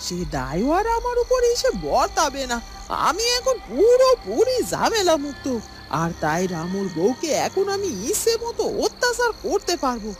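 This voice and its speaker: Gloating villainess, high-pitched, extremely animated, greedy, cruel, cartoon village tale. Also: female voice, moderate pace, soft background music, heavily acted. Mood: smug relief. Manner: gloating, repeats words for emphasis. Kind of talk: Bengali cartoon fairy-tale dubbing.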